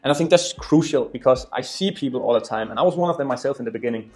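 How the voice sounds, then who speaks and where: A man in his twenties speaks with a Danish accent as a native Danish speaker from Denmark. He talks casually to his online viewers, earnest and emphatic, leaning on repeated words. Music with guitar plays behind his medium-pitched voice. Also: fast talker, a little mellow. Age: 20s. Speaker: YouTuber and e-commerce entrepreneur. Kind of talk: casual storytelling talk to camera.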